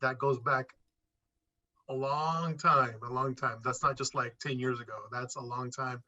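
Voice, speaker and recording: Bright, forceful man, talking emphatically. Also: medium pitch, little pitch movement, a little slow, dull audio. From Puerto Rico and New York City. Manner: emphatic, repeats phrases for stress. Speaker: interview guest. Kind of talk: casual conversational interview.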